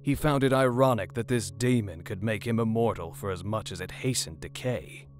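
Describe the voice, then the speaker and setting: A male narrator reads a grim horror tale with dramatic, scripted delivery. His voice is deep, and music plays softly underneath. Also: moderate pace.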